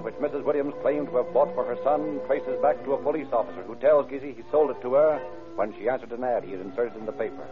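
Actor as a tinny vintage crime-show narrator. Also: male voice, mid-range voice, close to an everyday voice. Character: grave, dramatic male narrator of crime story. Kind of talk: dramatic old-time radio crime narration. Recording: tinny, band-limited vintage radio recording.

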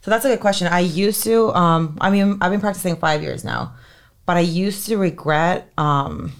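A woman's voice: fairly low pitch, very animated, moderate pace. A woman in her thirties from Finland, an entertainment lawyer talking as a podcast guest in a chatty way, full of "like" and "um". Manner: chatty, heavy use of "like" and "um" fillers. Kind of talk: casual interview chat.

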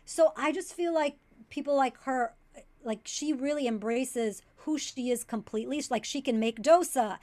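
A woman whose first language is Tamil talks as a guest on a comedy podcast, with a voice set a bit high. She tells stories in a loose, chatty way and acts out the people she quotes. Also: normal speed, darkish tone. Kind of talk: casual interview chat.

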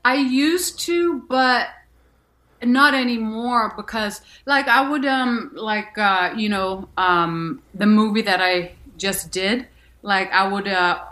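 A woman's voice: medium pitch, a bit bright. A woman from Denmark, an actress and musician, answering interview questions candidly with many restarts and fillers.

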